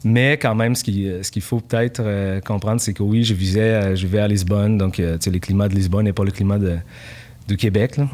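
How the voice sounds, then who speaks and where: A man in his forties from Portugal talks easily as a podcast guest, stopping often on fillers and restarting his sentences. His voice sits fairly low for a man. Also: brisk. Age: 40s. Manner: relaxed, many fillers and restarts. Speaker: guest, company founder. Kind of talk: casual podcast interview.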